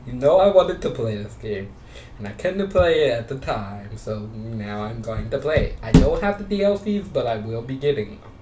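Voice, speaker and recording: A man doing casual gameplay commentary, speaking haltingly and often repeating himself or restarting his sentences. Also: medium pitch, very animated, very noisy. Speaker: video game streamer. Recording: roomy echo with music behind.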